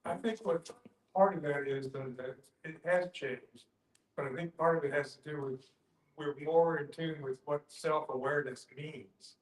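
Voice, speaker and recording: An older man in an informal group discussion, halting and circling back as he searches for words. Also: fairly high pitch, steady tone. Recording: echoey room.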